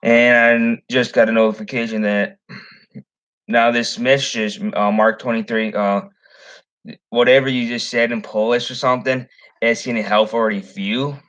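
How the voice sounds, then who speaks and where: A man's voice: mid-range voice, steady tone, moderate pace, a bit bright, low-quality recording. A young man from the Chicago area chatting casually on his fishing livestream, rambling with many ums and restarts. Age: young adult. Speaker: fishing channel host.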